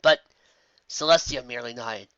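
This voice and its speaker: An amateur narrator reading scripted fantasy fan fiction in an expressive storytelling manner. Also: mid-range voice, normal speed, smooth, no room sound, dull audio.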